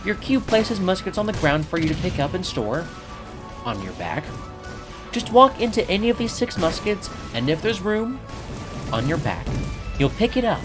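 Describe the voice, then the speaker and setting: Brief: a man putting on an energetic, swooping game-guide narrator over clear backing music. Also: high-pitched, moderate pace, pitched above the performer's own voice. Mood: instructive, teasing. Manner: lively, sing-song guide delivery. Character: hyped-up male game-guide narrator persona. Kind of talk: game tutorial voiceover. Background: clear background music.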